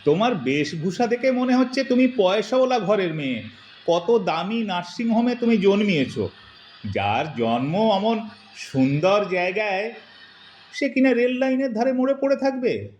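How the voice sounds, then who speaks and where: A man's voice: high-pitched, lively intonation, normal speed, a little mellow, highs cut off, slight background noise. An actor playing a hesitant, fatherly old man in an audio drama, speaking in a plaintive, coaxing way. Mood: flustered, fatherly. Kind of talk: Bengali audio drama acting. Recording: roomy, echoing voice recording.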